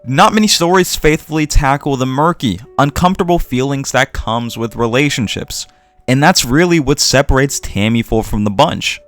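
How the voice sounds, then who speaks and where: A man's voice: medium pitch, animated, moderate pace, fairly bright tone. A man narrating a scripted opinion video essay as a channel host, with earnest, emphatic phrasing.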